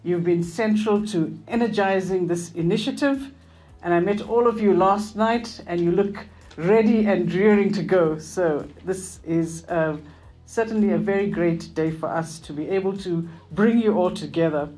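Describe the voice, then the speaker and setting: A woman with a low, mellow voice opens an event with a measured, formal welcome as a university dean. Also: faint music behind.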